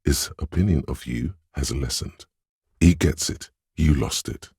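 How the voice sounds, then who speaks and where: A man voicing a sly, manipulative inner voice in a video game, husky and breathy, speaking in a measured, coaxing, insinuating way. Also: very deep voice, darkish tone, no echo, clean studio sound, full character voice. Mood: disapproving. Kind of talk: scripted video game voice acting.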